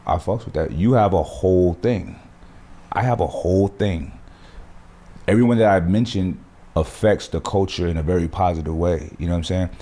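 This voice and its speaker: A man hosting a podcast, talking in an animated, emphatic way full of slang and swearing. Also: deep voice. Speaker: podcast host. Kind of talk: casual podcast conversation.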